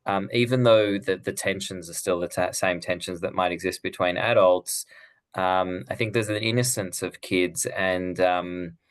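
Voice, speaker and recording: A man's voice: sits low, fairly even intonation, moderate pace. A middle-aged man, born abroad and raised elsewhere, speaking earnestly as an author in an interview, with frequent restarts. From Israel, raised in Australia. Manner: earnest, thoughtful, many restarts. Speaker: author and guest. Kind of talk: conversational interview.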